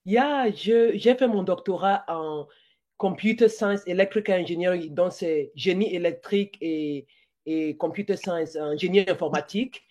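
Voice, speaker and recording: A woman's voice: fairly low pitch, unhurried, darkish tone. A woman in her forties from Cameroon, speaking as a podcast guest, slipping English words into her French with many restarts. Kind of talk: conversational interview.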